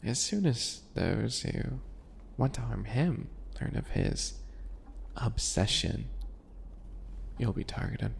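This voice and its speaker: A man playing a calculating, obsessive potion-maker in an ASMR roleplay, with a deliberate, mocking, theatrical delivery. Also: mid-range voice, expressive, gravelly, slight background noise, full character voice. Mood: scornful.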